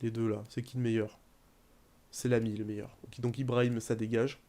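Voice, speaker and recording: A young man commenting casually on his football video game career, rambling, with bursts of excitement. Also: mid-range voice, moderate pace. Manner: chatty, rambling, bursts into excited shouting at goals. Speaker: gaming YouTuber.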